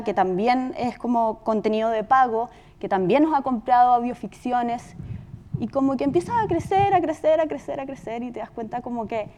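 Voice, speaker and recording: A woman's voice: a little high, a little mellow. A Chilean woman giving an informal conference presentation about her company's work, talking conversationally and repeating words for emphasis.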